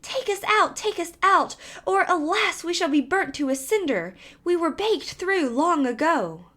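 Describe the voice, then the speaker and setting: A woman's voice: very high voice, sing-song, unhurried, fairly bright tone, voice pushed up, full character voice. A woman voicing loaves of bread in a fairy tale, pleading urgently to be rescued.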